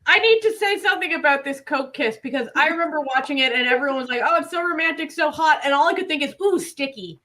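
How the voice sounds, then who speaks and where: Bright high-voiced playful woman host. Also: fast talker, few pauses. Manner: playful, teasing. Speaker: talk show co-host. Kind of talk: casual chat.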